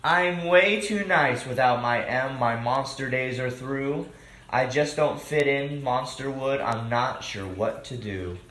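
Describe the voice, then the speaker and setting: A man as a gentle storybook monster, echoey room. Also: medium pitch, slow. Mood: dejected. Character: gentle, too-nice hairy monster, childlike and earnest. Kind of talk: children's storybook character reading. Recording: echoey room with background noise.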